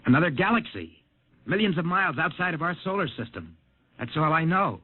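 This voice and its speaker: An actor playing a shaken, earnest young male test pilot in a 1950s radio drama, with a husky voice. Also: on the high side, sing-song, brisk. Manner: earnest, urgent. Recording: tinny old radio broadcast.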